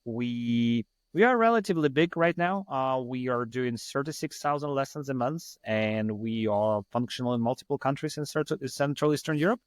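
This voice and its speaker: A man's voice: medium pitch, normal speed, hardly any pauses, no room sound, studio quality. Rambling Ukrainian-accented man telling stories. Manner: rambling, self-deprecating, laughs easily. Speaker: podcast guest, startup founder. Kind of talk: casual storytelling in an interview.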